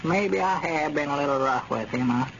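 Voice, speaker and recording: An actor playing a bossy, folksy older country storekeeper with a drawling rural Southern accent in a 1930s radio comedy. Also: male voice, fairly high pitch, moderate pace, lightly acted. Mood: folksy, self-justifying. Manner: folksy, drawling, dialect grammar. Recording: scratchy, muffled 1930s radio recording.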